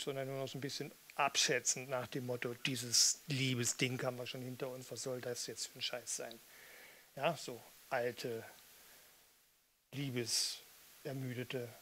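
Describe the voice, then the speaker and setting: A man's voice: mid-range voice, flat delivery, normal speed, fairly bright tone, slight background noise. An older man, a stage performer, bantering casually between songs into a microphone on a stand. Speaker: performer, singer and spoken-word artist. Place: small theatre with red seats and curtains. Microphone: studio mic on stand with pop filter.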